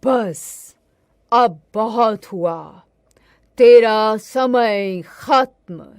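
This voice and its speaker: A woman voicing a stern, menacing male god of death with slow, solemn pronouncements in a cartoon moral tale. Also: fairly high pitch, clear, resonant tone, dry recording, clean studio sound. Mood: stern, condemning.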